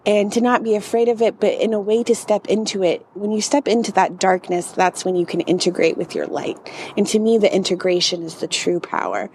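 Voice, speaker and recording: A woman with a soft, girlish voice, talking casually as a podcast guest and filling her speech with "like". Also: mid-range voice, fairly even intonation, a little fast, few pauses, a little hiss or hum. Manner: soft, girlish voice with frequent laughs and fillers. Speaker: guest healer.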